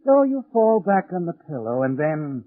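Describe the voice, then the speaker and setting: An actor playing a sinister, knowing narrator in a 1940s radio thriller, speaking in an insinuating, dramatic manner. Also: male voice, on the high side, sing-song, moderate pace, dry recording. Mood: ominous. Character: sinister, knowing narrator taunting the guilty man. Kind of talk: 1940s radio suspense narration in second person. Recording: tinny 1940s radio recording with music.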